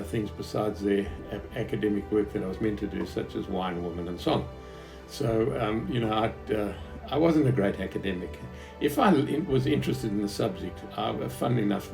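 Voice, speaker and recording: Man, 70s, Southern African accent, low and soft-edged tone, reflective halting storyteller, faint music underneath. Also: gravelly, poor audio. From Zimbabwe. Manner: hesitant, reflective, halting phrasing. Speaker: businessman recounting his life. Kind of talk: unscripted autobiographical storytelling to an interviewer.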